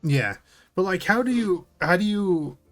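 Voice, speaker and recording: A man's voice: high voice, expressive, a little slow, soft background music. A man in casual conversation as a podcast co-host, informal and questioning as he thinks aloud. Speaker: podcast co-host reacting to a video. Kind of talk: casual chat.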